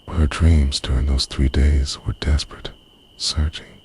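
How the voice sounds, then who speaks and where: A man narrates a scripted romantic ASMR roleplay in a hushed, breathy, confessional voice that often slips into a whisper. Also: extremely low pitch, flat delivery, measured pace, noisy background.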